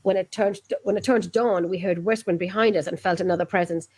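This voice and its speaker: Irish woman host, chatty, quick to laugh, easygoing group chat. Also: on the low side, fairly even intonation, darkish tone, slightly rough. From Ireland.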